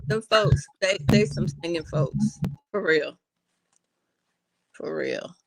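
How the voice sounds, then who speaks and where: A woman's voice: fairly low pitch, wildly swooping pitch, takes it slowly, no room sound, poor audio. A sleepy woman, giving easygoing, amused replies in casual chat. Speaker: church praise team member.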